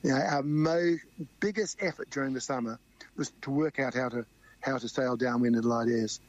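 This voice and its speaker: A man with a loud voice, telling a vivid race story in a radio interview. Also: mid-range voice, moderate pace, dull audio. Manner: animated, vivid storytelling with quoted crew talk. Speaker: sailing crew member guest.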